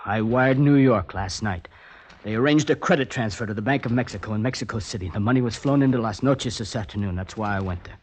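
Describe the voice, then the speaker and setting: An actor playing a desperate, brooding poet in a 1950s radio drama, speaking with literary intensity. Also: male voice, fairly low pitch, fast, husky. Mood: guarded, bitter. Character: desperate man nicknamed Poet, anguished, pleading for a kidnapped woman. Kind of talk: 1950s radio drama acting, brooding first-person narration. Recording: vintage radio drama with music behind.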